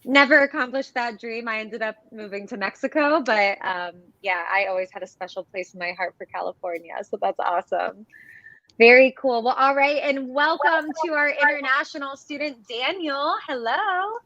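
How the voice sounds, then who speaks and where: A woman's voice: high-pitched, normal speed, bright, forceful tone, dry recording. A young woman from Ohio hosting a live interview, upbeat and often saying "awesome". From Ohio, USA. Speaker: host. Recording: dull lo-fi sound.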